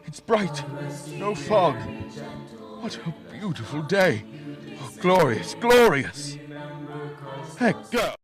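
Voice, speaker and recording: An actor playing an excitable old miser in a radio play, theatrical and stammering. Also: male voice, on the high side, takes it slowly, long pauses, faint music behind. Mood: wondering relief.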